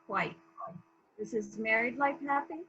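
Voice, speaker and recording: A woman's voice: on the high side, a little slow, sharp tone, breathy edge, lo-fi sound, exaggerated voice. A woman playing a polite, probing police inspector in a murder mystery reading, asking formal, clipped questions. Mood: courteous, brisk.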